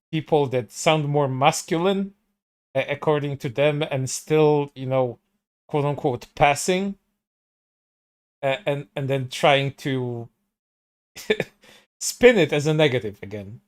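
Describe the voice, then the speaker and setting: A man's voice: medium pitch, unhurried, clear, resonant tone. Stammering, swearing man co-host. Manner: stammering restarts, heavy swearing, sarcastic. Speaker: co-host commenting on stream. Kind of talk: casual commentary.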